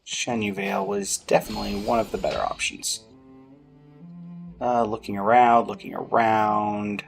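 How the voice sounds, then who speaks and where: A man's voice: lowish voice, expressive, normal speed, vocal fry. A man giving casual gameplay commentary, muttering to himself with playful, mock-formal phrasing. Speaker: game streamer. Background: game music.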